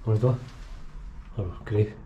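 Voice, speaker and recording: A man's voice: lowish voice, unhurried, muffled recording. A Scottish man exploring an abandoned building, making short muttered remarks as he films on a body-worn camera. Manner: terse, muttered remarks while walking. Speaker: urban explorer filming. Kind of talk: casual exploring chat. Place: empty abandoned building, indoors. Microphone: body-worn action camera. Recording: echoey empty rooms, noisy.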